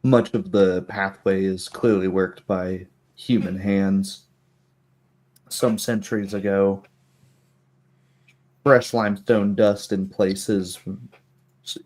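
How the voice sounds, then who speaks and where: Low-voiced man narrating a tabletop game. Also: darkish tone. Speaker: game master. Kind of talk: slow scripted-sounding tabletop game narration.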